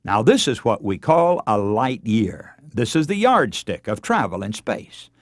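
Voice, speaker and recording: A man delivering a radio sermon as a preacher, earnest and emphatic, with deliberate, oratorical phrasing. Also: on the low side, very animated, dry recording, studio quality. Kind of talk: radio sermon, oratorical lecture with scripture readings.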